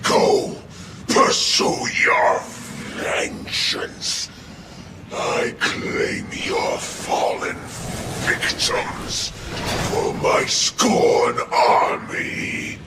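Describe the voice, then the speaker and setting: A man playing a menacing undead alien warlord in a video game, making grandiose, shouted threats. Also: mid-range voice, level tone, very slow, creaky, heavy background noise. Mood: menacing, commanding. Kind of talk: dramatic video game villain acting. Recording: echoing voice under loud music.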